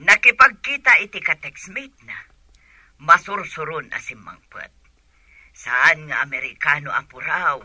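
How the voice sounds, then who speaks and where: A sharp, exasperated Filipina mother. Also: low voice, a little slow, husky, no room sound, heavily acted. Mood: exasperated, confiding. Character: middle-aged mother, exasperated, confiding gossip. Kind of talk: Ilocano radio drama acting.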